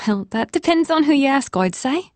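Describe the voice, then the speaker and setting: A young Irish barmaid in an audiobook story, played by a woman narrator, cheery and teasing. She speaks brightly in a raised pitch with an Irish accent, briskly welcoming and gently mocking her customers. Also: lively intonation, no echo. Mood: teasing, friendly.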